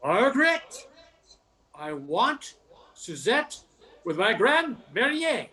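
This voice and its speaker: A man's voice: very high-pitched, sing-song, slow, sharp tone, full character voice. An older man playing a cocky, joking diner customer in an amateur radio play, with a theatrical, insistent manner. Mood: smug, insistent. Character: arrogant, nosy male diner customer and joking clown.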